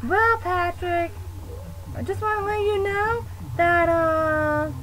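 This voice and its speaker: A woman voicing a silly, teasing cartoon sea sponge in an improvised amateur puppet show, excitable and shrieky. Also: very high-pitched, slow pace, pitched below the performer's own voice, exaggerated voice. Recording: noisy amateur home video sound.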